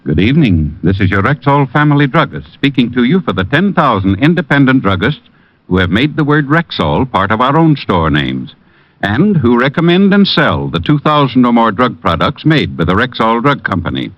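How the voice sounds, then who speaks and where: A man gives a measured, earnest sales pitch for a 1950s radio sponsor. His pitch rises and falls freely through the read. The recording sounds dry and muffled, like an old broadcast transcription. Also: very animated, no room sound. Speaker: commercial spokesman.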